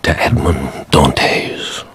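An actor playing a cold, grave, betrayed man bent on revenge, speaking with measured deliberation in a radio drama. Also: extremely low pitch, a bit bright, slight background noise, exaggerated voice. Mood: cold, accusing. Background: dramatic music.

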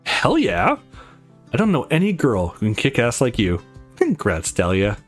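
A man's voice: medium pitch, wildly swooping pitch, normal speed, soft background music. Man playing supportive, earnest young male friend. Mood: proud. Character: encouraging, loyal young man, friend and coach.

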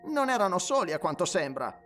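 A man voicing a smug, excited young ghost-hunting sleuth, eager and teasing in an audio story. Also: sits high, a little fast, recorded from a distance, faint music behind, pitched above the performer's own voice, heavily acted. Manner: eager, teasing, pleased with himself.

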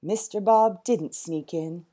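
A woman's voice: on the low side, slow pace, dark tone. A woman voicing a nervous, kindly old male zookeeper in a storybook, hesitant and apologetic.

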